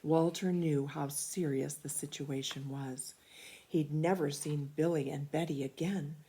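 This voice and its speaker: A woman reading a children's picture book aloud, expressively and emphatically, with dramatic pauses. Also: low voice, steady tone, dark tone, slight background noise.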